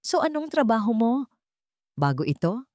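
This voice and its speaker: A woman's voice: fairly high pitch, sing-song, normal speed, a little mellow, dry recording, clean studio sound, pitched above the performer's own voice. A woman voicing a hostile young man with a sarcastic, insulting, pointed way of talking in a story. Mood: sarcastic, taunting. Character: sarcastic, hostile young man taunting his new stepmother.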